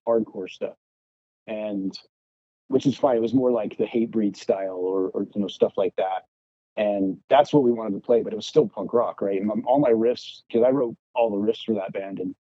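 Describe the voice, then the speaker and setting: A man with a low, husky voice chats casually as a podcast guest. He tells his stories with plenty of swearing and voices both sides of conversations, in a dull, lo-fi recording. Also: no room sound. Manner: profane, animated re-enacting of past arguments. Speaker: podcast guest, punk rock guitarist. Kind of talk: casual storytelling chat.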